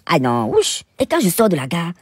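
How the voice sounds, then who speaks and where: A man's voice: a little high, huge pitch swings, normal speed, slightly rough, dry recording, clean studio sound. A man with a Cameroonian accent telling stories in a casual, chatty vlog voice-over, theatrical and exclamatory, with playful indignation. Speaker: cooking vlogger and narrator.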